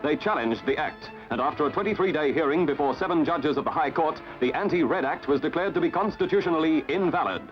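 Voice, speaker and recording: A man's voice: a little high, fast talker, few pauses, lo-fi sound. Man, newsreel narration, scripted delivery, limited-bandwidth audio, prominent music bed.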